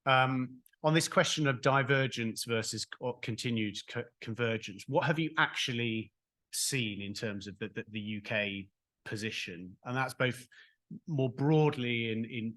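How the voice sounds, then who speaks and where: A man's voice: medium pitch, fairly bright tone. A man moderating a conference panel, talking in a hesitant way with many restarts and fillers.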